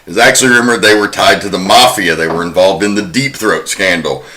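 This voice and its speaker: Bright-voiced man hosting a film podcast. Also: low voice, fairly quick. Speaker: podcast host. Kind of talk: prepared film history commentary.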